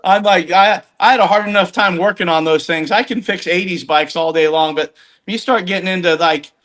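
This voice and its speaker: Bright-voiced rambling man in his fifties. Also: high-pitched, brisk. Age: 50s. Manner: rambling, self-interrupting storyteller. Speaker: podcast guest. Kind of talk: casual chat.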